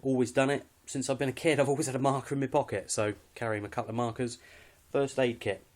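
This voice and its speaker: A man's voice: medium pitch, little pitch movement, fast, darkish tone. A man with a British accent casually walking through his kit, unscripted, in an understated, matter-of-fact and slightly hesitant way. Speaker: hobbyist presenter showing his kit. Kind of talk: casual unscripted gear walkthrough.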